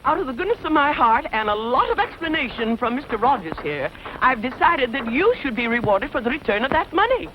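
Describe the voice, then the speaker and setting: A woman's voice: high-pitched, sing-song, brisk. An actress playing a bossy but generous townswoman with an American accent and a commanding manner in a vintage western film. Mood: bossy, magnanimous. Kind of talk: 1940s western film acting. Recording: muffled vintage film soundtrack, noisy crowd behind.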